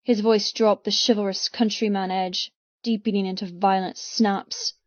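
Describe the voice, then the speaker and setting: A woman reading dramatic first-person audiobook narration in an intense, deliberate manner. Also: mid-range voice, flat delivery, dry recording, lo-fi sound.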